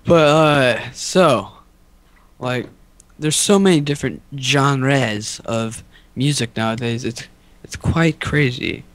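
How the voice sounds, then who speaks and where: A young man with a medium-pitched voice co-hosts a casual podcast. He rambles through unscripted chat in a goofy manner, talking himself up with mock boastfulness. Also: slow pace. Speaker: podcast co-host.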